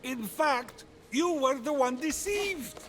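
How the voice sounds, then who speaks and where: Casting: pompous petty deity, theatrical, very high voice with big swoops, comic game. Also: male voice, animated, takes it slowly, a bit bright, soft background music, heavily acted. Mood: gloating. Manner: pompous, gloating. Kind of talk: comic video game narration banter.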